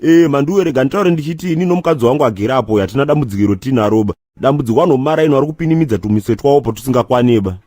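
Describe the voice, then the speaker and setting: A man's voice: medium pitch, rapid-fire, hardly any pauses, mellow, no echo, clean studio sound, close to an everyday voice. A man with a Zimbabwean accent playing an aggrieved, doting husband in a comedy cartoon, earnest and rambling. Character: aggrieved but doting husband doubting his children's paternity.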